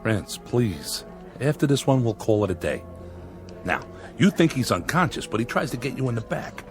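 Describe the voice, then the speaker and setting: An impatient American director acted by a man bosses the set in a mid-pitched voice. He is emphatic and exasperated, his pitch leaping around. It plays as radio drama with light music. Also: sing-song, moderate pace, close to an everyday voice. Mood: impatient, instructing. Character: exasperated, bossy TV Western director.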